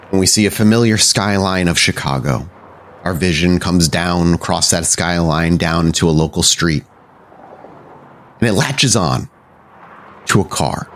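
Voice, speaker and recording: A man's voice: low-pitched, a little hiss or hum. A man hosting and narrating a tabletop role-playing podcast as storyteller, moving between casual chat and cinematic scene-setting. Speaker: storyteller and host.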